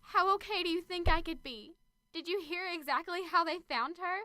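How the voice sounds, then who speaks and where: Grieving young student, played by a woman, very high and bright, raw and sharp-edged. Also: moderate pace, a little hiss or hum. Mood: grief-stricken. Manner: raw, bitter, on edge. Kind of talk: amateur radio drama acting.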